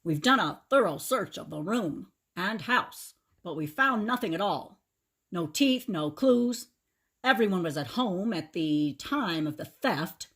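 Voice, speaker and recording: A woman's voice: on the low side, expressive, unhurried, a bit bright, breathy edge, exaggerated voice. A woman voicing a serious, earnest male police officer in a children's storybook. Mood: grave.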